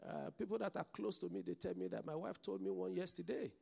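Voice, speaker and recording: A man's voice: high voice, animated, fast talker, vocal fry, a little mellow, slightly rough. A man with a mixed Nigerian and Scottish accent, preaching a sermon as pastor and calling out to the congregation for responses.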